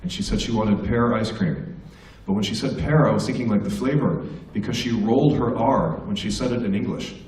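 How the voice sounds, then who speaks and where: A man's voice: medium pitch, darkish tone. A middle-aged American man telling comic stories and teasing students as a guest speaker at a school assembly. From United States. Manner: joking, teasing the audience. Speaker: guest speaker, stand-up comedian. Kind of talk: stand-up storytelling to students. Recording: echoey hall from far off.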